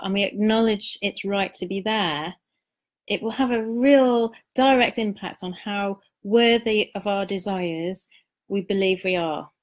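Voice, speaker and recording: Middle-aged female spiritual coach, mid-range voice, informal live-stream delivery, introspective and open, somewhat halting. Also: medium pitch. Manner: reflective, confiding, halting with pauses and repeated words. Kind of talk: informal live-stream talk sharing personal experience.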